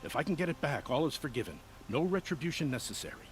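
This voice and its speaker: A man's voice: on the high side, animated, quick pace, a little hiss or hum, lightly acted. An actor playing an anxious, proud nobleman in a video game, speaking in a formal, dramatic, earnest way. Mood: earnest, resigned. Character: anxious, proud nobleman pleading over family honor. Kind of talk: video game character acting.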